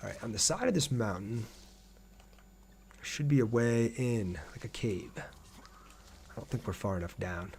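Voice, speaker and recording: A man's voice: fairly low pitch, animated, unhurried, long pauses, a little mellow, a little hiss or hum. A man doing casual live gameplay commentary as a streamer, chatty, thinking aloud and reading out viewer messages.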